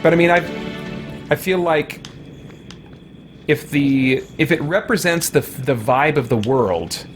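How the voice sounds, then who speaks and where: A man streaming a game, chatting casually and thinking aloud in a halting, musing way. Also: fairly high pitch, over music. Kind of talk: casual live gaming stream chat.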